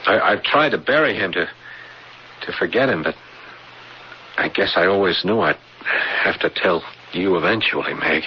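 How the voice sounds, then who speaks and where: Male actor as troubled artist husband, radio drama. Lowish, halting delivery with lively inflection, slightly hissy recording. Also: natural voice. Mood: haunted, confessing. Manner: hesitant, stammering, repeats his words. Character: troubled artist husband, haunted by a secret past. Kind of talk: 1980s radio drama acting. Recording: muffled, hissy old radio broadcast.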